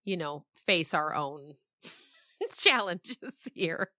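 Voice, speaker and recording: A woman's voice: sits low, lively intonation, extremely slow, bright, forceful tone, no room sound, studio quality. A woman speaking as an interview guest, a storyteller and podcast creator talking reflectively and expressively in conversation.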